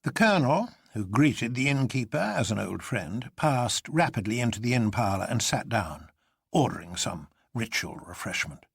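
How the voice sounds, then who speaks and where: Husky, expressive male storyteller. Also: mid-range voice, moderate pace, no room sound, clean studio sound. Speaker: narrator. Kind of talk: dramatic literary audiobook narration.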